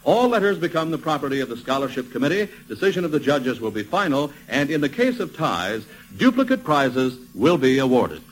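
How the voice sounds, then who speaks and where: A man's voice: mid-range voice, sing-song, a little fast, hardly any pauses, rough recording. A man with an American accent reading scripted 1940s radio announcements as an instructive commercial announcer.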